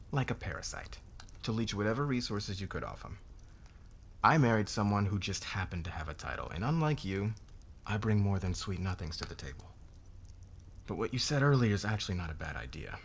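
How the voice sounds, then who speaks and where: A man playing a haughty, domineering businessman boss in an intimate ASMR roleplay, speaking in a measured, condescending way. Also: low-pitched, slight background noise. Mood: disdainful.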